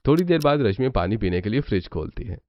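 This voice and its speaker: A man's voice: on the low side, big pitch swings, fast. A man narrating a scripted cartoon family story. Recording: muffled audio, clear background noise.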